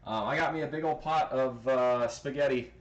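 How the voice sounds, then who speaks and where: A man's voice: mid-range voice, moderate pace, highs cut off, a little hiss or hum. Joking man cooking on a livestream. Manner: loose and joking, swears casually, counts numbers aloud. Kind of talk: casual live cooking chat. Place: home kitchen, indoors. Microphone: handheld phone camera.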